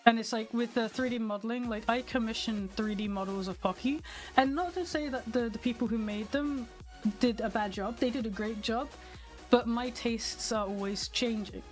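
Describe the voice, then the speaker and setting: A woman's voice: a little high, normal speed, faint music behind. Slightly nasal young woman streamer. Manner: chatty, candid, talks to her viewers. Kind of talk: casual stream chat.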